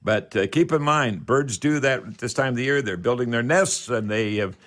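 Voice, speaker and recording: Radio call-in host: older male, medium pitch, folksy, chatty, prone to rambling. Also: normal speed, fairly bright tone. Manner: folksy, chatty, rambling with old-fashioned expressions. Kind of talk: casual home-improvement call-in radio talk.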